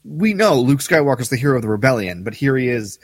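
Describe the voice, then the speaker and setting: A man's voice: medium pitch, sing-song, fast, no room sound, studio quality, in a large hall. A middle-aged man co-hosting a podcast in casual, conversational discussion. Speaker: podcast co-host.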